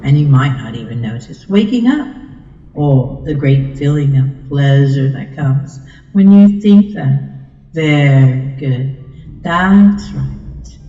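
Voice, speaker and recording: A woman delivers a hypnosis script in a very dark, mellow voice. She coaxes soothingly, repeating her suggestions as in ASMR. Her voice is muffled and swims in long, echoing reverb. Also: rumbling low, animated, takes it slowly. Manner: soothing, coaxing, repetitive trance patter.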